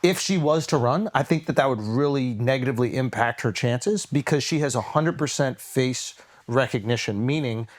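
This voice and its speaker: A man's voice: mid-range voice, moderate pace. Profane, rambling American man, podcast host. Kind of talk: casual podcast conversation.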